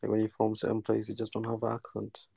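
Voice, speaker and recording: A man from Newcastle, England, a guest caller chatting casually, talking haltingly with many restarts and fillers. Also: lowish voice, completely flat delivery, creaky, dark tone, slightly rough, dry recording. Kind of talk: casual chat.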